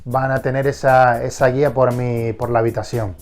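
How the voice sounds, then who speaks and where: A man's voice: medium pitch, flat delivery, moderate pace, over loud music. A man hosting a casual collector vlog, nasal and congested from a cold, enthusiastic and often restarting his sentences. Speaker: collector vlogger.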